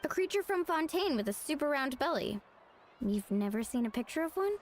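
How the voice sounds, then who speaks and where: A woman voicing a lively, easygoing young female video game character in an expressive, playful way. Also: high voice, fairly quick, slight background noise.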